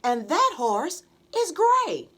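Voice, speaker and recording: A woman's voice: very high voice, wildly swooping pitch, slow pace, bright, forceful tone, pitched above the performer's own voice, exaggerated voice. A woman voicing a dreamy, curious little girl of first-grade age in a children's storybook. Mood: excited. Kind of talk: children's storybook read-aloud.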